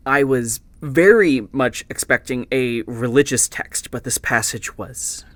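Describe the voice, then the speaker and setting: A man's voice: medium pitch, slow, dry recording, close to an everyday voice. A man playing a rambling, bookish podcast host who researches occult books, speaking naturally and hesitantly in an audio drama. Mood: puzzled, musing. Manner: hesitant, muttering, explanatory.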